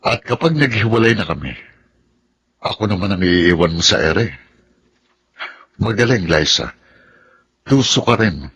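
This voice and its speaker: A Filipino radio drama husband, restless and wary. His voice sits fairly low, and he delivers his lines as dramatic dialogue. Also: lively intonation, a little slow, long pauses, a bit bright, slight background noise. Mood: wary, confrontational.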